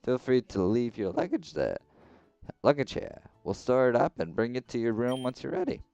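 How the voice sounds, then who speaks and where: A man's voice: medium pitch, sing-song, normal speed, vocal fry, dark tone, faint music behind, voice pushed up. A man reading the lines of a friendly, pushy young hall guide in a game. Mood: chummy. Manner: chummy, pushy. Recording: slightly dull lo-fi recording.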